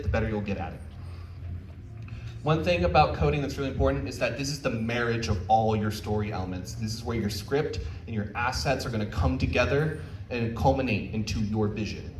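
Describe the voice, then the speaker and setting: A man giving an informal technical presentation at a convention panel, talking in a casual, self-deprecating and encouraging way. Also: medium pitch. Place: indoor convention panel room. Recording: roomy convention hall, distant mic, faint noise.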